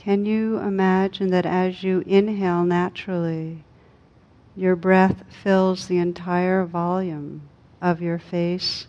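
Woman, meditation guide, calm, very flat intonation, unhurried with long pauses, slightly muffled lo-fi sound. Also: lowish voice. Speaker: meditation teacher. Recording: dull, lo-fi recording.

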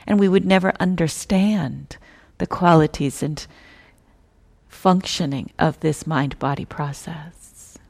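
A woman teaching a guided meditation in a measured, gentle manner, with long pauses between phrases. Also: sits low, creaky.

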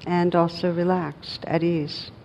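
Low, even-voiced woman guiding meditation. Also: a little hiss or hum. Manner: gentle, unhurried, with long pauses. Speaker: meditation teacher. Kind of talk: guided meditation.

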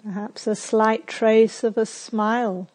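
A woman meditation teacher guiding a sitting meditation in a soft, unhurried way with long pauses. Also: a little high, fairly even intonation. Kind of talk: guided meditation. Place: retreat center.

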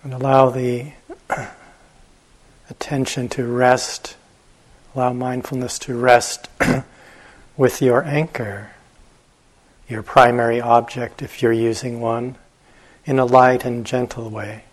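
A man teaching meditation, giving guided instructions gently and unhurriedly, with long pauses and a husky voice. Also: mid-range voice, little pitch movement.

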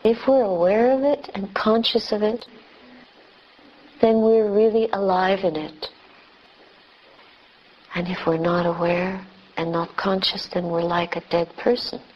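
Female meditation guide, unhurried pace, mid-range pitch, gentle measured delivery with pauses. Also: fairly even intonation, lo-fi sound. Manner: gentle, measured, with long pauses between short phrases. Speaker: meditation teacher, Buddhist nun.

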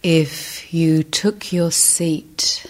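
A woman meditation teacher giving guided instruction, speaking gently and deliberately in short phrases with long pauses. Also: deep voice, steady tone, whispered.

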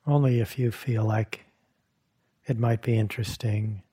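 A man leading a guided meditation as a teacher, speaking gently in short phrases with long, unhurried pauses and a soft, husky tone. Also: fairly low pitch, fairly even intonation. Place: meditation hall at a retreat.

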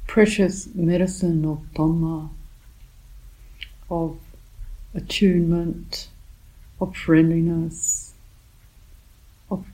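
A woman teaching a guided meditation in a gentle, calm manner, speaking in short phrases with long pauses. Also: fairly low pitch, rough recording. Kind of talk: guided meditation, softly spoken instruction.

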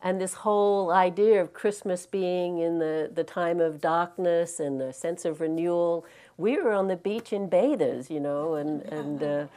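A woman from Australia with a softened Australian accent, giving a gentle, reflective dharma talk as a meditation teacher, with frequent ums and restarts. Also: fairly low pitch, measured pace. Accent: Australian, mixed with American. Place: meditation hall in California.

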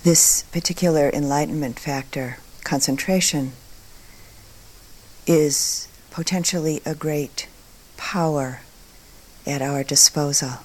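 A woman with a low-pitched voice gives a guided meditation talk. She speaks very slowly and calmly, setting out each short phrase with care and leaving generous pauses between them. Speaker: meditation teacher.

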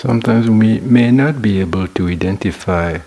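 A man guiding a meditation as a teacher, speaking in short, measured phrases with pauses between them. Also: lowish voice, warm tone. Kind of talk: guided meditation talk.

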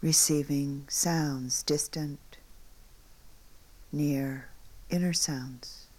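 A woman meditation teacher leading a guided meditation in short, unhurried phrases with long pauses, often hushed at the ends. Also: low-pitched, steady tone.

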